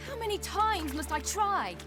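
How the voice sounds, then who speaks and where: A woman's voice: very high voice, lively intonation, moderate pace, in a large hall, over music. A woman voicing a young, restless swordswoman in a video game, speaking earnestly and urgently. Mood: frustrated. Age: young adult. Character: determined, restless young swordswoman and fledgling mage. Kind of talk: video game cutscene acting.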